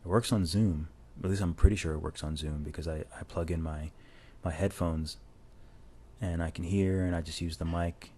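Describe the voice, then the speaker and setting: A man with a very deep, dark-toned voice rambles easily through a drawing tutorial voice-over, often restarting his sentences. He talks into a lavalier mic on a phone, with a faint hiss underneath. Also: moderate pace. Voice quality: low voice. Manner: rambling, relaxed, many restarts. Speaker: illustrator narrating a drawing tutorial. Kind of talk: casual voice-over while drawing. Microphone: lavalier mic plugged into a phone.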